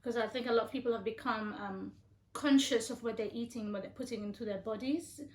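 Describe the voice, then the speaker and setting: Woman, casual chat, hesitant and halting, fairly high voice, roomy sound. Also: darkish tone. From Algeria. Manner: hesitant, many fillers and restarts. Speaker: co-host being interviewed. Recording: echoey room.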